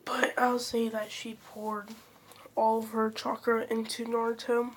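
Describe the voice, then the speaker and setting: A young woman chats casually to her viewers, her voice hoarse because she is getting sick. She talks slowly and in a flat, even tone, often correcting herself mid-thought. A faint hum of room noise sits behind her. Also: on the high side. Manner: rambling, many fillers and self-corrections. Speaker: channel host. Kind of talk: casual fan-theory chat.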